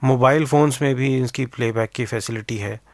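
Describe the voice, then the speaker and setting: A man's voice: medium pitch, moderate pace, dry recording, clean studio sound. A man giving explanatory interview answers as an expert guest, mixing English technical terms into his speech.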